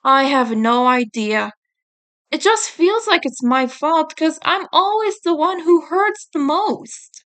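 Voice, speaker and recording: A woman's voice: sits high, animated, slow, a bit bright, pitched above the performer's own voice, full character voice. A woman reading the part of a blunt, guilt-ridden teenage mutant turtle boy in a story, awkward about feelings. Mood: guilty, upset.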